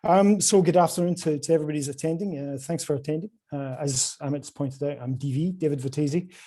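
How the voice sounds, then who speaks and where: A Scottish man presents at a conference over a video call, his voice mellow and soft-edged. He talks with frequent filler sounds and restarts, and his level rises and drops through each phrase. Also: a little high, quick pace. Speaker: conference speaker from a quantum computing company.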